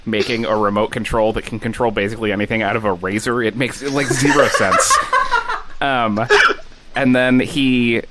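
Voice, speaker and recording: A man's voice: medium pitch, fairly even intonation, normal speed, heavy background noise. A man co-hosting a casual podcast, wry and rambling as he retells a film. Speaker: podcast co-host.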